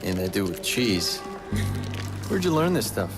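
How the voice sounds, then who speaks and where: An actor playing a cocky, sardonic young action hero in a video game cutscene. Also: male voice, fairly low pitch, very animated, a little slow. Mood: sardonic, curious. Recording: long reverb with music behind.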